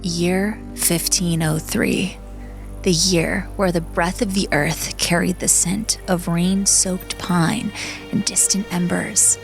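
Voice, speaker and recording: A woman's voice: lowish voice, steady tone, slow, creaky, soft background music. A woman narrating an audiobook in a solemn, poetic, dramatic style.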